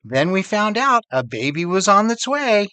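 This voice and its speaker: Loving storybook mother, played by a man, tender, emphatic, drawn-out phrases, animated pitch swings. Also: clean tone, dry recording, exaggerated voice. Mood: fond, joyful. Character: loving mother fondly recalling happy times to her child.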